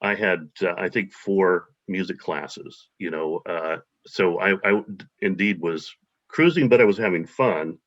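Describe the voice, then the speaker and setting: Deep-voiced man, halting and hesitant. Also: a bit bright. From United States. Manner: hesitant, many fillers and restarts. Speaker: guest. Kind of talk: casual interview. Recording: dull lo-fi audio.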